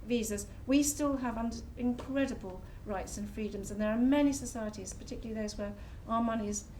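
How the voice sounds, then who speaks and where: A woman speaking as a panelist in a public discussion, earnest and measured as she argues her point. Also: on the high side, warm tone, slight background noise, rough recording. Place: public talk venue.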